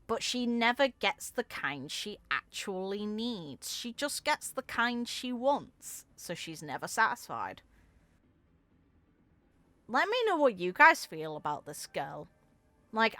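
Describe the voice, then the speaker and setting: Bright-voiced woman giving casual game commentary. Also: mid-range voice, sing-song, measured pace, no echo. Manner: informal, musing aloud. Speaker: video game content creator.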